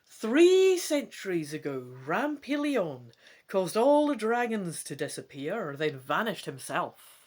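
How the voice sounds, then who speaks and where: Woman voicing an earnest, animated young adventurer. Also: mid-range voice, takes it slowly. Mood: determined, hopeful. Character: earnest young royal adventurer in disguise, determined, indignant. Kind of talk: dramatic gamebook reading.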